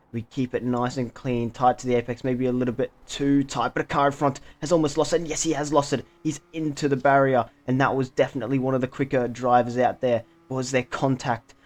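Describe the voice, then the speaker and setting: A man narrating his own recorded sim race in a running play-by-play commentary style. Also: medium pitch.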